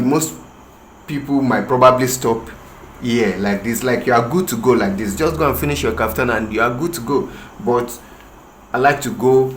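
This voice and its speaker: A man with a Nigerian English accent and a Yoruba lilt talks slowly through a tailoring demonstration, pausing and restarting as he works. His voice sits at an ordinary mid pitch. It is recorded in an echoey room, with faint ambient noise behind him. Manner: slow, hesitant, explaining with pauses and restarts. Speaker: tailoring instructor. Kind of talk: tutorial demonstration. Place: room with a patterned rug, working on the floor.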